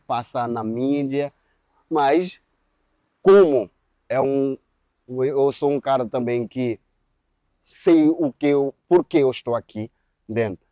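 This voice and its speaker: A man with a dark, mellow voice speaks in a searching, rambling way. He is recorded close and dry, with no room echo. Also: medium pitch. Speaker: interviewee. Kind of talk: documentary interview.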